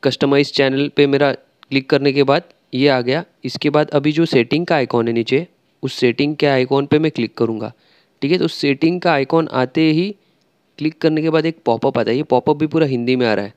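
A man's voice: medium pitch, a little fast, clean tone. A man talking through a screen-recorded tutorial step by step, chatty and loose, with frequent filler sounds. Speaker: tech tutorial creator.

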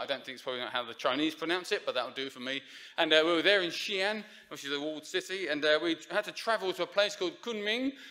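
Man, preacher at a lectern mic, bright forceful tone, rambling chatty delivery with fillers. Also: a little high. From Essex, England. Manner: chatty, self-deprecating, many fillers and restarts. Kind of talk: informal sermon. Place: church sanctuary. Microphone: lectern microphone.

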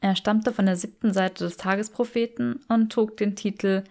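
A woman reading an audiobook, telling the story expressively in a measured, wry manner. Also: mid-range voice, steady tone, darkish tone. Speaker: audiobook reader. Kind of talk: expressive scripted fiction narration in German.

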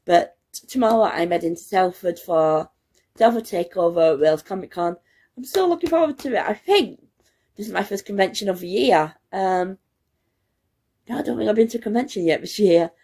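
A young woman, a student, chatting casually to her phone camera at home, her voice croaky from hay fever and her talk rambling and excitable. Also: medium pitch, normal speed. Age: young adult. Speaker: student vlogger. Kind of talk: casual vlog chat. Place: home, indoors. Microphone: phone camera at arm's length.

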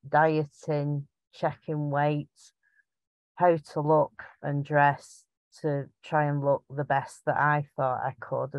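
A woman's voice: sits low, darkish tone, no room sound. A woman in her fifties with a Bolton accent, chatting through her slides as a patient sharing her experience, rambling and self-deprecating. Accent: Bolton, Lancashire. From Bolton, England. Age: 50s. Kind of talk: presentation talk over slides. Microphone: video call. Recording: dull, lo-fi video call audio.

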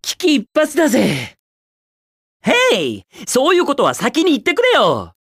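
A man's voice: extremely high pitch, huge pitch swings, measured pace, creaky, a bit bright, slightly rough, dry recording, clean studio sound, full character voice. A man voicing a cocky, carefree young hedgehog speedster hero in a video game, with a cool, brash, quippy delivery. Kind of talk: Japanese video game character voice lines.

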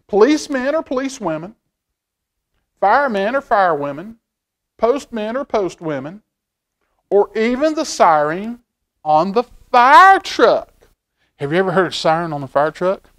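Middle-aged man, children's storytime reader, high voice, big swoops in pitch, folksy asides. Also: normal speed, long pauses. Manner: folksy, expressive, asking the listener questions. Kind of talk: reading a picture book aloud to children, with chatty asides. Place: indoor library children's room.

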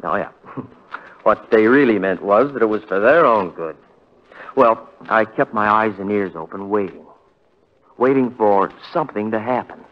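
A man's voice: lowish voice, lively intonation, moderate pace, lightly acted. An actor playing a bitter, self-pitying, paranoid young man in a 1940s radio melodrama, sarcastic and repeating himself. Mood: tense, dramatic. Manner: sarcastic, muttering repeats, dramatic. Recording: dull vintage 1940s radio recording.

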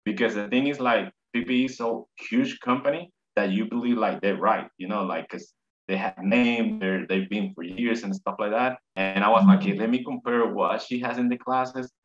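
A man in his thirties from Puerto Rico with a Puerto Rican Spanish accent, a native Spanish speaker telling his story in a casual video call interview, halting and repeating words. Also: on the low side. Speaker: interview guest. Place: home room, indoors. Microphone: video call webcam. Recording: dull lo-fi video call audio.

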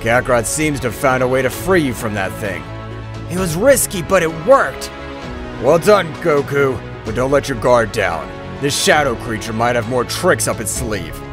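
A man voicing a proud, wary male alien warrior with a gruff, terse manner. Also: medium pitch, big pitch swings, moderate pace, music in the background, voice pushed down, lightly acted.

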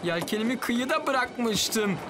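A man's voice: very high voice, expressive, a little slow, background noise. A man voicing a hapless, troubled fisherman in a children's cartoon dub. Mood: dismayed. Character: dismayed, hapless fisherman on his boat.

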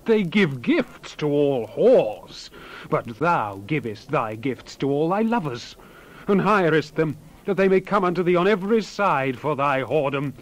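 A man voicing a wrathful God in a dramatized scripture reading, declaiming solemnly and swinging between forceful cries and hushed near-whispers. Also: on the high side, sing-song, measured pace, rough recording. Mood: indignant. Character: wrathful male God pronouncing solemn judgment.

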